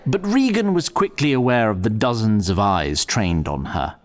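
A man's voice: fairly low pitch, sing-song, normal speed. A man playing a wry, theatrical narrator of a fantasy audio drama, formal and dramatic in his phrasing.

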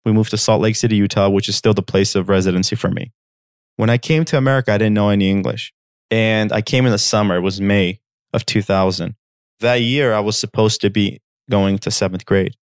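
A man's voice: fairly low pitch, steady tone, fairly quick, clean tone, no echo, studio quality. A man in his thirties from Armenia, a podcast host telling a personal story in an earnest, direct way and checking in with listeners. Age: 30s.

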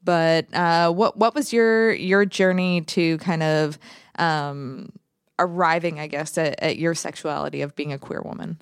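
A woman's voice: deep voice, fairly even intonation, a little slow, smooth, no echo, clean studio sound. A woman hosting a casual talk show, speaking thoughtfully with frequent fillers and restarts. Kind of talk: casual conversation.

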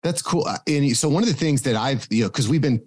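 A man's voice: mid-range voice, fast, darkish tone. Easygoing man moderator. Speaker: podcast agency owner, session moderator. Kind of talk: casual conversation.

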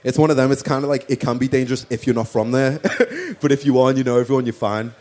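A man's voice: medium pitch, lively intonation, quick pace, darkish tone. A man with a Liverpool accent, a musician talking casually in an interview, rambling with lots of "like" and "you know what I mean". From Liverpool, England. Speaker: musician guest.

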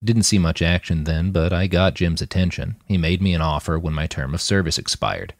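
A man's voice: low-pitched, brisk, no room sound. A man voicing a friendly, plain-spoken army mechanic in an audiobook, casual and frank. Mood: matter-of-fact, hopeful.

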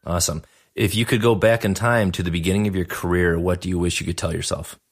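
A man in his thirties with a low voice who talks quickly. He hosts in an upbeat, casual way, moving from one question to the next. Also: fairly even intonation. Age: 30s. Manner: upbeat, asks questions, says "man" and "dude". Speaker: podcast host. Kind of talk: casual podcast interview.

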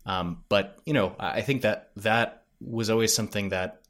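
Low-voiced man in a casual interview. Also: moderate pace, fairly bright tone. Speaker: podcast creator, interview guest.

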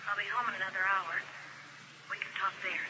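An actress playing a young woman who phones with secret help, speaking in a hushed, urgent way in a 1950s radio drama. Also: mid-range voice, fairly even intonation, fairly quick, lightly acted. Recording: muffled old radio recording with hiss.

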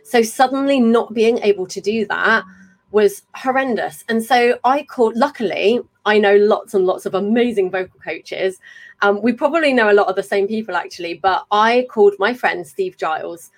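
A woman, hosting a casual chat over a video call, talkative and quick to laugh and swear. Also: mid-range voice. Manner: chatty, swears freely, laughs often. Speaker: podcast host. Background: faint fan hum.

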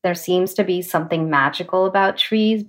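A woman hosts a podcast from her home office, speaking over a video call. She asks short, curious questions and keeps her guests talking with steady encouragement. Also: on the low side, normal speed. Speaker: podcast host. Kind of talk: friendly interviewing over a call.

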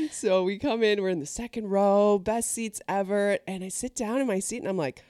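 A middle-aged woman, an ordained minister, hosting a podcast: a scripted welcome, then animated, laughing chat. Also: medium pitch, normal speed, no room sound, clean studio sound. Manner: animated storyteller who laughs often.

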